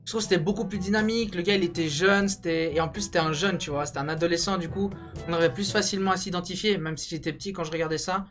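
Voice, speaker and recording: A man's voice: high voice, very fast. A young man chatting casually and jokingly in slangy language, like a YouTube host telling stories to a friend. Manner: slangy, joking, animated storytelling. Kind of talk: casual banter, ranking cartoons. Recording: music behind the voice.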